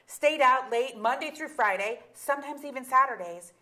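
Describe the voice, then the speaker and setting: A woman's voice: sits high, normal speed, pushed and bright. A woman playing an exasperated middle-aged mother, candid and sardonic, in a staged comedy reading. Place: auditorium stage.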